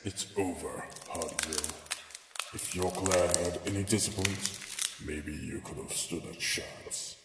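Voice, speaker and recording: A man's voice: deep voice, steady tone, slow, fairly bright tone, full character voice. A man playing a cold, proud and merciless ninja assassin in an audio drama, stern and menacing. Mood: cold menace. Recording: echoey room, music behind.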